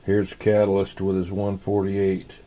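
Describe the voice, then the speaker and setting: A man hosting a casual livestream, rambling in an unhurried way and half muttering to himself as he goes. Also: deep voice, completely flat delivery, mellow. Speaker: livestream host.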